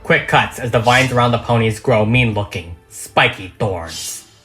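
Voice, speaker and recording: A man's voice: medium pitch, a little slow, sharp tone. A man reading a script as dramatic narration, with emphatic, suspenseful delivery. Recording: music behind the voice.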